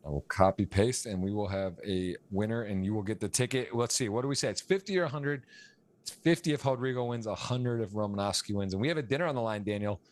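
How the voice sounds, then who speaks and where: An American man with a fairly low voice hosts a live poker stream. He calls the hands in a rambling way, with many restarts and repeated words. His pace picks up and his energy rises when a big hand plays out. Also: fast talker. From United States. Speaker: poker commentator and stream host. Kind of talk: live play-by-play commentary.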